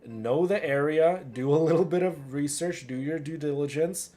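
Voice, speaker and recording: A man's voice: a little high, moderate pace, dark tone, faint music behind. A man with a Canadian accent, a live streamer chatting casually and emphatically, repeating himself for stress. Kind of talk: casual livestream chat.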